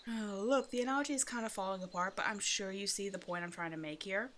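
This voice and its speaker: Over a clearly noisy ambient bed comes a self-sufficient, predatory female naga, played by a woman. Her voice sits at an average female pitch. She speaks straight to the listener in ASMR roleplay style, toying with them in a condescending, casually threatening way. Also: fast, fairly bright tone, natural voice. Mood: condescending, dismissive. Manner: teasing, condescending, casual conversational menace. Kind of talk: ASMR roleplay, one-sided scripted dialogue to the listener.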